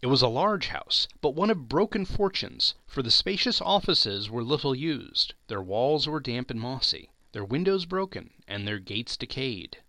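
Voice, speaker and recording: A man's voice: medium pitch, very animated, normal speed, hardly any pauses, sharp tone, dry recording. A man reading a classic story aloud as dramatic narration, theatrical and emphatic.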